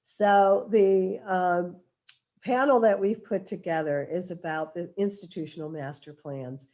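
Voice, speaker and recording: A hoarse woman with a cold moderates a panel over a video call. Her voice sits a little low and sounds dark and mellow. She is chatty and keeps restarting her sentences. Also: measured pace. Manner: restarts phrases often, chatty. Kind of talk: webinar introduction. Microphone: remote video call.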